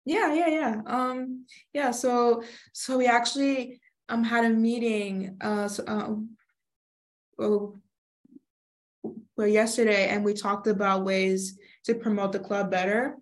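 A woman's voice: a little high, steady tone, slow, rough recording. A young woman, a student guest in an interview, speaking with many fillers and stuttered repetitions. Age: young adult. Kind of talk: interview answers.

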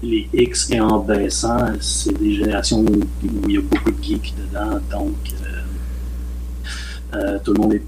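A man in his late forties with a Québécois French accent, a film director talking in a relaxed, rambling way as a podcast guest. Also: on the low side, unhurried, background noise. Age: late 40s. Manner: relaxed, rambling, frequent "euh" and "mettons". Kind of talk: casual podcast interview.

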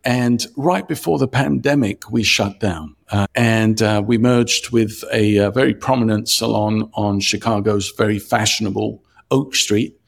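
A man, a hairdresser who chats as a podcast guest in a friendly, relaxed way with frequent fillers. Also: fairly low pitch, unhurried, gravelly, few pauses. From Cyprus, raised in London. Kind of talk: casual interview chat.

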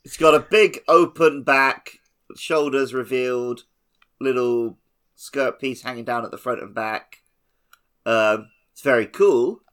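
A man's voice: mid-range voice, steady tone, takes it slowly, fairly bright tone. A man co-hosting a podcast, chatting casually about a video game. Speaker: podcast co-host.

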